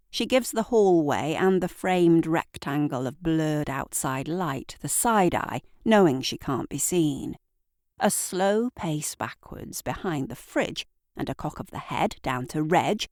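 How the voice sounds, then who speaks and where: A woman narrating an audio drama in a deliberate, theatrical storytelling manner, with dramatic pauses. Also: deep voice, a little mellow, no echo, studio quality. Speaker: narrator and writer.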